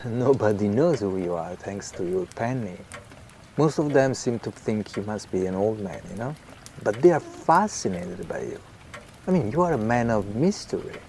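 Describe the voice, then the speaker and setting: A middle-aged writer in a radio drama, genial and a little self-absorbed. His voice sits low, and he talks in a chatty, sociable way with faint noise behind him. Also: lively intonation, moderate pace, a little mellow. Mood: chatty, musing. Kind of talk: audio drama acting.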